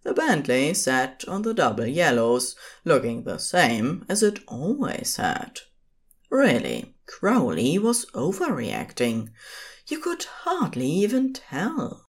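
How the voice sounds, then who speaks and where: A woman with a very low voice narrates a story from a script. Her delivery is slow and measured, with lively movement in the pitch. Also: husky.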